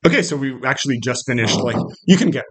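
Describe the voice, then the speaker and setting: A man teaching a small literature seminar, talking hesitantly with lots of fillers and checking in with "right?", his voice a little rough from coming down with something. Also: mid-range voice, expressive, fast, rough recording. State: slightly unwell, voice cracking. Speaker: literature instructor. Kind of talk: casual seminar lecture. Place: small classroom.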